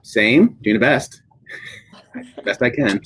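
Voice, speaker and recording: A man moderating an online author interview, speaking with halting, hesitant phrasing. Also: mid-range voice, lively intonation, a bit bright, slightly rough. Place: live online video event.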